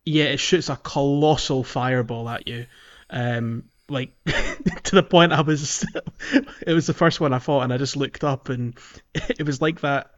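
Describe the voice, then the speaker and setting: A man's voice: medium pitch, fairly even intonation, measured pace, hardly any pauses, highs cut off. A man chatting casually about a video game as a podcast host, hesitant and thinking aloud, with an easy laugh.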